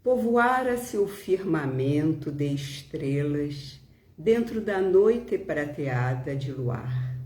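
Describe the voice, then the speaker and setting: Very slow-speaking Brazilian woman, solemn. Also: on the low side, warm tone. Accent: Brazilian Portuguese. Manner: solemn, deliberate, short pauses between phrases. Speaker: volunteer leader of a spiritist prayer live stream. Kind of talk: devotional reflection, prayer and reading aloud. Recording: roomy, echoing home live stream.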